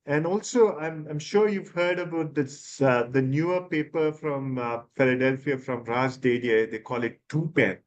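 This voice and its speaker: ENT doctor from India, higher-pitched man. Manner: explanatory, hesitant with fillers and restarts. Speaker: ENT surgeon guest. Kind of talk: expert interview answers.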